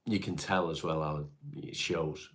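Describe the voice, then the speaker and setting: Deep-voiced congested man. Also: fairly even intonation, moderate pace, fairly bright tone. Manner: chatty, answers viewer comments one by one, calls people mate. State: congested, stuffy nose. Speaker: livestream host reading chat. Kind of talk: casual livestream chat.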